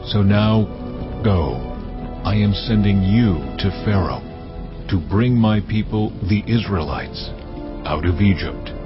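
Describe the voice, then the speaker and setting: An actor playing God as a solemn, commanding divine voice, proclaiming slowly and with weight. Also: male voice, fairly low pitch. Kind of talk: dramatized scripture reading. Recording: muffled, over loud cinematic music.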